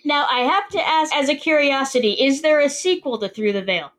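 A woman's voice: high voice, animated, a little fast, a bit bright. A woman hosting a book podcast, chatting with a guest author in a cheerful, chatty way. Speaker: podcast host. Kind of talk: friendly interview chat.

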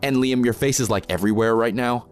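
A man's voice: mid-range voice, fast talker, faint music behind, close to an everyday voice. A man playing a dry, wary protest reporter with a sardonic, blunt manner in a fiction podcast. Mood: blunt concern.

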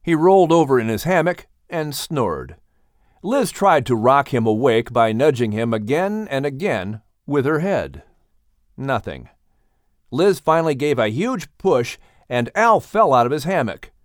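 A man reading a children's audiobook as its narrator, in an animated storytelling style. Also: medium pitch, unhurried, no echo, clean studio sound.

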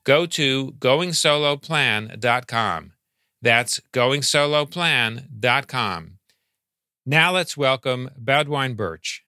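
Guy hosting a podcast, bright sharp voice, dry sound, little guitar behind. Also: medium pitch, lively intonation, dry recording. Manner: clear and measured, reads promos. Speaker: podcast host. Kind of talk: scripted intro and interview questions.

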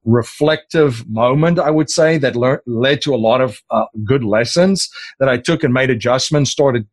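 A man's voice: medium pitch, normal speed, dry recording. Afrikaans-man, dry sound. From South Africa. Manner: rambling, many fillers and restarts. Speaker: podcast host as interview guest. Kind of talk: casual interview talk.

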